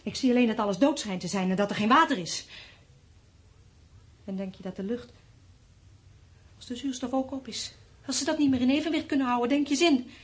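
An actress plays a woman who has survived a catastrophe, her voice on the high side. She is brooding and mocking, swinging between dreamy softness and bitter, frightened sarcasm in naturalistic radio drama style. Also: fairly quick, long pauses, close to an everyday voice. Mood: bitter, accusing. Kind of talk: naturalistic radio play acting. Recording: radio play with background ambience.

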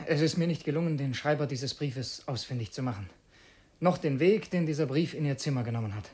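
An actor playing a cunning infiltrator posing as a loyal secretary, in a measured, formal, husky radio drama style. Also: male voice, mid-range voice, a little mellow, natural voice. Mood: controlled, formal. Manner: measured, brooding diary narration, formally courteous to employers. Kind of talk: German radio drama acting.

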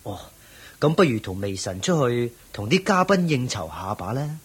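Palace courtier, raspy, swooping pitch, Hong Kong Cantonese accent, deferential, persuasive, radio drama. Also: male voice, normal speed. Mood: solicitous. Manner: courtly, expressive. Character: deferential, persuasive royal courtier devoted to his prince.

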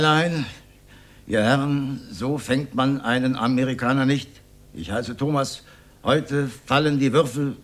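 An actor playing an older communist and failed stage actor in a radio drama, sardonic, defiant and declamatory. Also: male voice, fairly low pitch, slightly rough. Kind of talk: radio drama monologue, theatrical.

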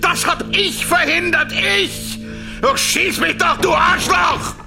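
Desperate executive ranting in strained high pitch. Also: male voice, slow pace, vocal fry, music in the background, exaggerated voice. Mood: furious ranting. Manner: shouting, emphatic. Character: cornered, ranting male pharmaceutical executive, cynical and desperate. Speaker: actor in a radio drama. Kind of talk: German radio play acting.

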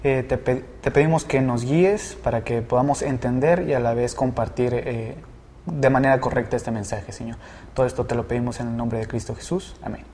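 A young Mexican man in his twenties joins as a student guest, speaking with many fillers and restarts. His voice sits at a middle pitch. The room gives it a long echo. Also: fairly even intonation, fairly quick, a little mellow, a little hiss or hum. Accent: Mexican Spanish. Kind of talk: casual discussion and prayer.

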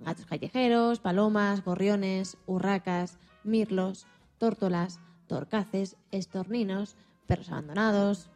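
A woman's voice: mid-range voice, a little slow, a little mellow, faint music behind. A woman co-hosting a radio show, reading scripted segments.

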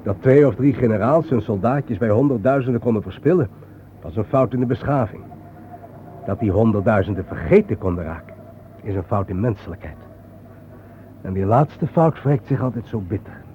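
Actor as a solemn journalist, thin radio sound. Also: male voice, on the low side, expressive, natural voice. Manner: grave, philosophical, deliberate phrasing. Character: solemn, reflective male journalist haunted by war dead. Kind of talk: radio drama acting. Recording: thin phone-like old radio recording, faint noise.

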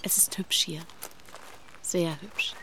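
An actress playing a refined young gentlewoman in a radio drama, admiring her surroundings. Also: fairly low pitch, steady tone, slow, noisy background. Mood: softly admiring.

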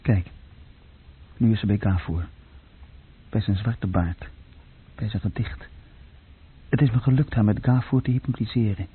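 A man's voice: medium pitch, breathy edge. An actor playing a searching, brooding runaway son who tells his own story in a radio play, plainly and introspectively. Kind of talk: radio play narration and dialogue. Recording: muffled, phone-like old radio recording.

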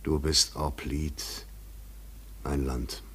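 An actor in a German radio play, playing an insistent, eloquent, poetic stranger who has swum out of the sea, speaking in a formal theatrical way. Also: male voice, a bass voice, little pitch movement, very slow, breathy edge, full character voice. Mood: quiet, reverent.

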